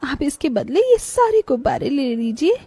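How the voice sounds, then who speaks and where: Poor little orphan girl, high thin voice, earnest and pleading, cartoon story, really animated. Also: moderate pace, no room sound. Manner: plaintive, earnest. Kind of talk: cartoon bedtime story voice acting.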